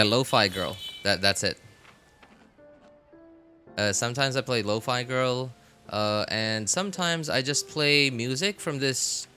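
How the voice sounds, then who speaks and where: A man chatting casually as he streams a game, rambling and halting as he thinks aloud. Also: mid-range voice, bright, forceful tone. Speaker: live streamer. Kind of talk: casual gaming stream chat.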